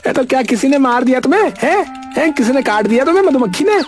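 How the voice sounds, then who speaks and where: A man voicing excitable, teasing village men in comic cartoon dialogue, with folksy, exclamatory banter. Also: extremely high pitch, fast talker. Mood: excited scolding. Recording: music behind the voices.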